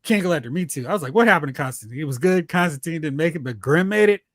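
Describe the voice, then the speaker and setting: A man hosting a casual chat about TV and film, telling stories in an animated, exclamatory way. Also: a little high, rapid-fire, dry recording, studio quality. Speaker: podcast host.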